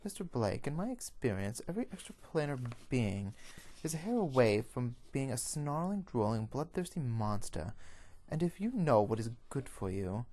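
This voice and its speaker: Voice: mid-range voice, very animated, moderate pace, dark tone. A performer voicing a severe, formal female official of a monster-hunting order who gives commands. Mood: stern warning.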